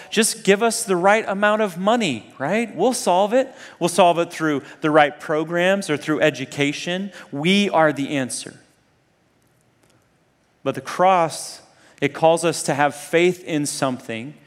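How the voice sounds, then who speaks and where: A man preaching a sermon to students in a conversational, earnest way, often putting rhetorical questions to his listeners. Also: on the high side. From Zambia and Kenya. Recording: long hall reverb.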